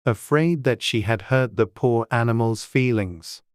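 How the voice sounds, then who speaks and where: A woman's voice: very deep voice, unhurried, dry recording, studio quality. A woman reading scripted storybook narration as an audiobook narrator.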